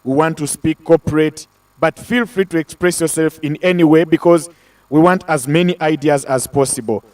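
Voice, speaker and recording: A man moderating an online panel, loud and talkative, addressing the audience directly. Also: on the high side, moderate pace, recorded from a distance. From Africa.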